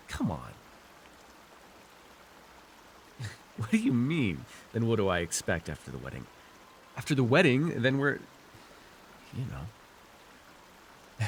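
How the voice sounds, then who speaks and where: A man's voice: mid-range voice, slight background noise. A man playing an arrogant, seductive fantasy warlord in an intimate roleplay, teasing and theatrical as he speaks to one listener. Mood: flustered, exasperated. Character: arrogant, seductive male fantasy villain warlord, dream-wielding conqueror. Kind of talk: immersive ASMR fantasy roleplay acting.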